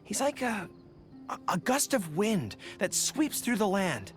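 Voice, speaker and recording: Young game general, earnest, sincere, spirited, animated intonation, background music. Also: male voice, high voice, unhurried, heavily acted. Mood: respectful, thoughtful. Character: earnest young male army general with pointy ears. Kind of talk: video game character voice lines.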